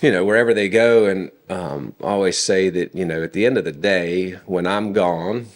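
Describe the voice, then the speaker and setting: A very low-pitched man in his forties speaks as a podcast guest. He tells stories about his family in an unhurried, conversational manner, often pausing on fillers. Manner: relaxed storytelling with frequent fillers. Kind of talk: casual conversation.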